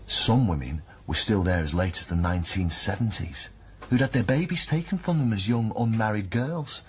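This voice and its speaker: An actor playing an earnest, concerned partner in naturalistic radio drama dialogue, speaking gently. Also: male voice, fairly low pitch, animated, moderate pace, slight background noise, lightly acted. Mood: grave. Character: earnest, concerned male partner of a troubled woman.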